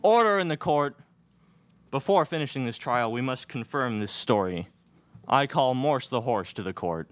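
A man's voice: medium pitch, expressive, moderate pace, dry recording, natural voice. A young man playing an officious Wild West judge in a student radio play, declaiming into a stage microphone. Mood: stern, official. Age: young adult. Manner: declamatory, calling for order. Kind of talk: student radio theatre acting. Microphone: stage microphone on stand.

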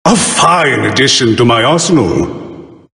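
A bookish, bird-headed god who judges the dead in a video game. He speaks slowly and formally, with sweeping melodic swings and dry wit. The sound rings with long reverb. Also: medium pitch, expressive, gravelly, fairly bright tone. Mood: solemn, assured. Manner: grand, formal, theatrical delivery with dry wit. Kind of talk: video game character voice lines. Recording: roomy studio voice with long reverb.

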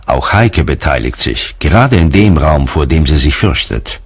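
A man's voice: rumbling low, animated, moderate pace. A man narrating a documentary from a script.

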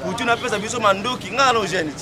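A man's voice: high-pitched, fast talker, crowd noise. A Congolese man speaking emphatically and insistently as he gives his opinion in a street interview. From Democratic Republic of the Congo. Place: outdoors by a doorway on a street. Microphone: small wired lapel mic held near mouth. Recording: loud outdoor street and traffic noise.

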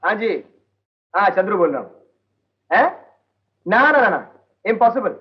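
Brief: a slick, high-pitched star's secretary, fawning, on dull old film audio. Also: male voice, sing-song. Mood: busy. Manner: smooth-talking, fawning, quick excuses. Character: fast-talking, wheedling male secretary of a star singer. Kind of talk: 1970s film dialogue.

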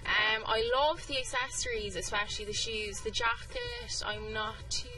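A woman's voice: fairly high pitch, sing-song, normal speed. A young woman from Cork with an Irish accent, giving a casual opinion as a panellist. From Cork, Ireland. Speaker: fashion panellist. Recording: music behind, slightly distant mic.

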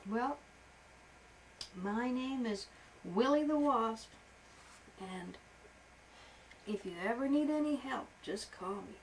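A woman putting on a zippy male wasp from a bedtime story, eager and a little breathless. His voice sits higher and louder than ordinary talk. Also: lively intonation, takes it slowly, pauses often, a little hiss or hum, low-quality recording. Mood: grateful.

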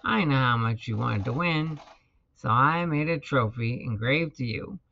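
A man's voice: mid-range voice, animated, unhurried, creaky, highs cut off, a little hiss or hum, voice pushed down. A man voicing an easygoing, kindly and dim starfish in a storybook reading. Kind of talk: storybook character voice.

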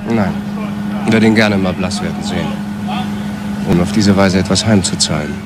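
A man's voice: low voice, normal speed, heavy background noise, close to an everyday voice. A male actor playing a bitter, sardonic adult son in a German crime radio play, mocking and insinuating. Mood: bitter, taunting. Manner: mocking, insinuating, with rhetorical questions.

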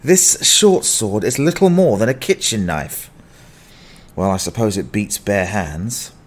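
Man playing an exasperated adventurer, lively pitch. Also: unhurried. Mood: weary muttering. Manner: grumbling, sardonic. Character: gruff, exasperated down-at-heel male adventurer. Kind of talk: dramatized fantasy audiobook acting.

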